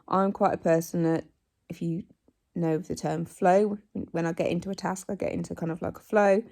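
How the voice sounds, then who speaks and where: A woman in her forties, hoarse from a cold, chatting casually in a home vlog recorded on her phone, rambling with plenty of ums and likes. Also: on the low side, steady tone, moderate pace, warm tone. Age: 40s. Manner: rambling, self-deprecating, many ums and likes. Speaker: vlogger. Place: living room at home. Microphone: phone camera.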